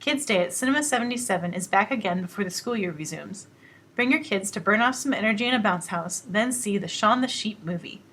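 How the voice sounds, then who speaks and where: A woman reading scripted announcements about base events in an even promo style. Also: mid-range voice, fairly quick.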